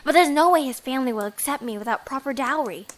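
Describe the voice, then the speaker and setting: A woman's voice: high voice, expressive, fast. A young woman acting in an audio drama as an earnest, pleading bride-to-be who is secretly a bandit. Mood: earnest, sorrowful.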